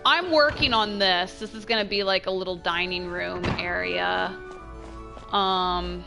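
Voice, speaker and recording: A woman giving casual, chatty gameplay commentary as a streamer, expressive and trailing off into asides. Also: mid-range voice. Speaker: game streamer.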